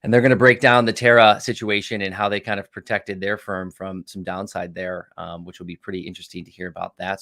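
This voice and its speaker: Man, deep gravelly voice, livestream host, relaxed rambling patter. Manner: loose, filler-heavy, upbeat host patter. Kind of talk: casual crypto livestream chat.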